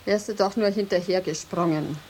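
An actress in a German radio drama playing a haughty, jealous lighthouse mistress, speaking in an imperious, formal and mocking way. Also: female voice, medium pitch, moderate pace, darkish tone, a little hiss or hum, close to an everyday voice, rough recording. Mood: scornful, mocking.

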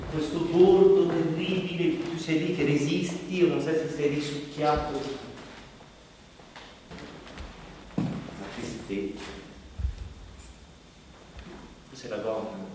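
A man's voice: fairly high pitch, normal speed, natural voice. An actor playing an exasperated man who rambles through his money troubles in a conversational theatrical monologue. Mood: hesitant, brooding. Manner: exasperated, digressive, conversational storytelling. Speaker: stage actor. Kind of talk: theatrical monologue in Italian stage production. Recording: very echoey hall, distant mic, faint noise.

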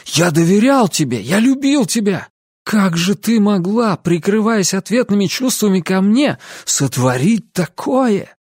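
A woman's voice: sits low, expressive, takes it slowly, a little mellow, husky, no echo, clean studio sound, pitched above the performer's own voice, exaggerated voice. A woman narrator voicing a devout young man who feels betrayed, anguished and accusing, in an audiobook.

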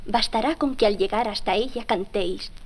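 A woman's voice: mid-range voice, moderate pace, noisy background, close to an everyday voice. A woman playing a wise forest fairy, giving solemn, instructive guidance in a children's storybook tale. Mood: solemn, guiding. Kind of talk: storybook acting.

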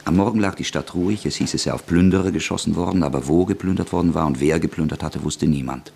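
A man narrating a radio drama in a measured, even way. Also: deep voice, gravelly, a little hiss or hum. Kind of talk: scripted narration.

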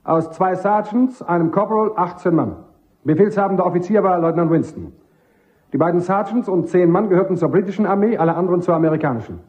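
A man's voice: high-pitched, fast, a little mellow, lightly acted. A man playing a dutiful army sergeant on the witness stand in a German radio play, reporting in a clipped, deferential, factual way.

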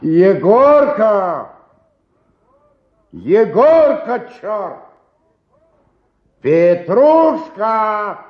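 A man's voice: on the high side, exaggerated voice. A man playing a boozy, self-mocking comic actor of sixty-eight in a radio play, theatrical and grumbling, on a slightly dull recording. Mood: irritated, calling out. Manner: theatrical muttering that swings into hollering.

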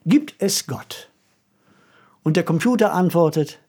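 A man's voice: fairly high pitch, lively intonation, measured pace, a little mellow, husky. A man co-hosting a podcast in casual discussion. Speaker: podcast co-host.

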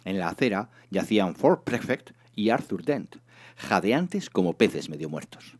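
A man narrating a comic story in a theatrical, expressive scripted reading. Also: sits low, unhurried. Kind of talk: dramatised comic audiobook narration.

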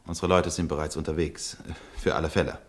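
A man's voice: very deep voice, level tone, brisk. An actor playing a cold, falsely polite German officer in a radio play who curtly dismisses a woman. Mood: smoothly formal. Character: cold, smooth German occupation officer, middle-aged. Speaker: officer taking a denunciation.

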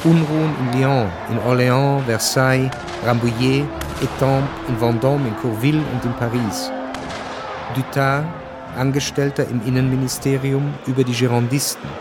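A man plays a detached chronicler narrating a historical radio drama. His medium-pitched voice is crisp and factual. Music runs clearly behind him. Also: fairly even intonation, normal speed, lightly acted. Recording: studio narration over clear music bed.

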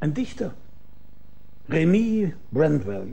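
An actor playing a cool, shrewd private detective, dry and commanding, in a radio drama. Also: male voice, on the high side, very animated, slow, vocal fry, mellow, full character voice. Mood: confident, accusing.

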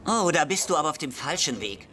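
An actor playing a lonely, agitated schoolboy seeker in a radio drama, pleading urgently and earnestly. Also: male voice, on the high side, very animated, quick pace, a crowd in the background. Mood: fervent, earnest. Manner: urgent, hasty, pleading, confiding. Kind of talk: German literary radio drama.

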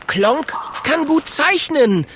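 Man voicing an earnest, worried little dragon, pitched up. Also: sing-song, slow, fairly bright tone, heavily acted. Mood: brave. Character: small young male dragon, anxious but brave. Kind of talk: children's audiobook character voice.

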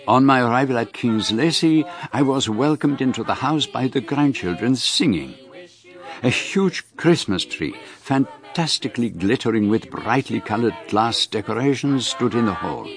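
An actor playing a courteous, inquisitive foreign detective with a French-speaking accent, formal and precise in a radio drama. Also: male voice, medium pitch, animated, normal speed, close to an everyday voice. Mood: measured narration. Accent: French-speaking European. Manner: formal, precise, hesitant politeness. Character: courteous, inquisitive foreign male detective, middle-aged or older. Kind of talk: radio drama dialogue and first-person narration.

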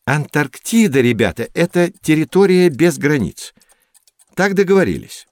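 A man's voice: fairly high pitch, big pitch swings, moderate pace, no echo. A man hosting an educational programme, explaining things to young listeners in an articulate, didactic way. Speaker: host of an educational audio programme. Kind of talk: explanatory narration for children.

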